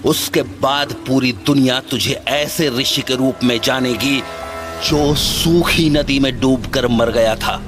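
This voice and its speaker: A man's voice: mid-range voice, slow, pitched above the performer's own voice, close to an everyday voice. A man voicing scornful, angry villagers who mock and accuse in a horror story. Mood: mocking anger. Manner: mocking, jeering, accusatory. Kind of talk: dramatic animated horror story acting. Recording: music behind the voice.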